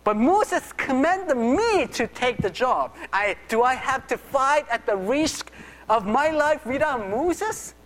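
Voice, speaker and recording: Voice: sits high, expressive, slow pace, a bit bright, full character voice. A pastor speaking in the first person as Joshua, an anxious young Israelite man who assists Moses, stammering and talking straight to the congregation. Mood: alarmed. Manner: fretful, stammering, speaks to the audience directly. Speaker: pastor acting out a biblical figure in first person. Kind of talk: first-person dramatic monologue in a sermon. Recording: echoey hall reverb.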